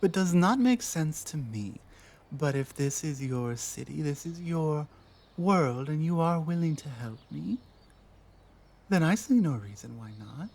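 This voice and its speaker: A woman voicing an earnest, honor-bound female orc in an ASMR roleplay, formal yet halting in manner. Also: deep voice, animated, vocal fry, mellow, slightly rough, exaggerated voice. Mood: hesitant, agreeable.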